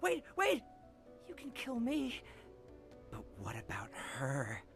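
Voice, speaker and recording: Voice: medium pitch, wildly swooping pitch, slow, creaky, over music, exaggerated voice. An actor playing a bitter, vengeful man, a sneering illusion of a former friend, in a theatrical game-story style. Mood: pleading, taunting. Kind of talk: dramatic character acting in narrated game story.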